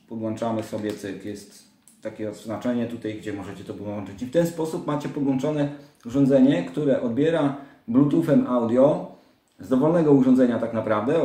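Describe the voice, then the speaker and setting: Guy doing a casual how-to vlog, soft dark tone, echoey room, kinda halting step by step. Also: medium pitch. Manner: informal, step-by-step, halting with small interjections. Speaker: moto vlogger presenting a gear setup guide. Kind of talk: casual hands-on tutorial. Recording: echoey, roomy indoor sound.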